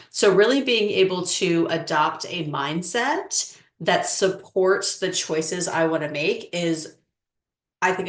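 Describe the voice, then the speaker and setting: A woman coach answering interview questions in a measured, explanatory way with frequent "um"s. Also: on the low side, fairly bright tone. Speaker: relationship coach. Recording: echoey room.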